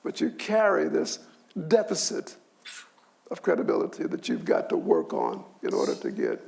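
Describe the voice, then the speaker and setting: An older man with a high, strongly gravelly voice speaks on a discussion panel. His pitch moves a lot as he explains, and he often repeats a word before going on. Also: wildly swooping pitch, moderate pace, a little mellow. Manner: repeats and restarts words, adds "you see". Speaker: sociology professor, panelist.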